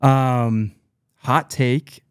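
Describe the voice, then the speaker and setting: A young adult man, a guest on a podcast, talking casually in a hesitant, halting way. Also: medium pitch, fairly even intonation, smooth, no echo, studio quality. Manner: hesitant, halting, many restarts and fillers. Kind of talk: casual chat. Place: small cozy studio room, indoors. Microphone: studio podcast mic on boom arm.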